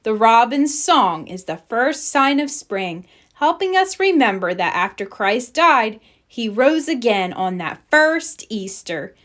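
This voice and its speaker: A woman's voice: a little high, big pitch swings, slow pace, hardly any pauses, fairly bright tone, heavily acted. A woman as a patient, wise storybook grandmother. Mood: gentle, devout. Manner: reassuring, patient, explaining. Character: calm, wise, devout grandmother teaching her granddaughter. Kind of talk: storybook read-aloud character voice.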